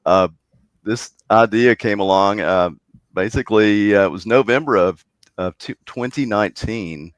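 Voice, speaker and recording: A man's voice: on the low side, normal speed, clear, resonant tone, no echo. A man speaking as an interview guest, explaining things in a conversational way. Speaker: interview guest, contest program director.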